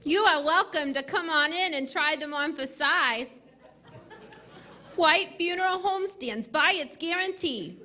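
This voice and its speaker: A woman playing a cheery 1940s radio announcer reading an advertisement with a deliberate sales delivery. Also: sits high, pushed and bright, some chatter behind. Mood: cheery, selling.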